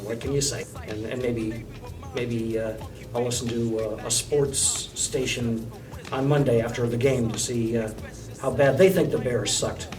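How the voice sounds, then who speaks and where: A man's voice: medium pitch, steady tone, measured pace. An older man with an American accent answers casually in an interview. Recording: distant, echoey interview over music.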